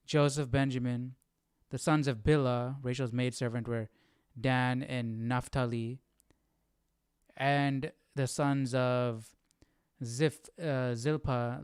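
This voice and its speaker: A man's voice: medium pitch, clear, resonant tone, no room sound, studio quality. A man hosting a Bible study livestream, chatting casually and thinking aloud in an informal, questioning way.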